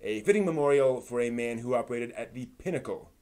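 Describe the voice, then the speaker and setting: A man's voice: medium pitch, expressive, quick pace, darkish tone. A man hosting a live stream in a conversational way, moving between news rundown and casual asides to his viewers.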